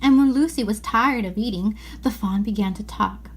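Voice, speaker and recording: A woman reading aloud a children's novel as expressive scripted narration. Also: mid-range voice, normal speed, slight background noise. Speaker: storybook reader.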